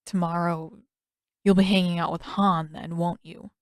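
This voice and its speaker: A woman's voice: on the low side, level tone, measured pace, no room sound, clean studio sound, close to an everyday voice. A woman voicing an awkward, smitten teenage boy in a storybook reading, with casual, hesitant, blurting speech. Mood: hopeful, unsure.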